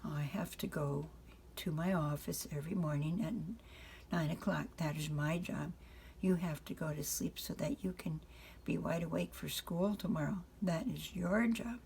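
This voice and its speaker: A woman voicing a patient but firm father who explains things in a deliberate, matter-of-fact way in a bedtime storybook. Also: sits low, vocal fry, a little mellow, slight background noise. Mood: patient explaining.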